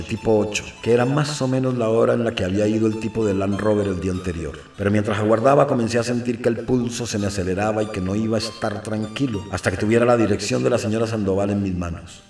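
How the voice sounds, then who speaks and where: A low, creaky-voiced priest narrates an audio novel, restless and confessional. The sound is roomy and slightly distant. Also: male voice, a little mellow. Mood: reflective. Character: restless, obstinate priest telling his own story. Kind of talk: dramatic scripted narration. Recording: roomy, distant narration over faint music.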